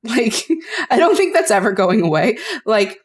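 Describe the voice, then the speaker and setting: A woman's voice: on the high side, very animated, normal speed. A woman in her thirties co-hosting a podcast, chatting casually, swearing freely and laughing often as she retells scenes. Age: 30s. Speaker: podcast co-host. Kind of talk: casual chat.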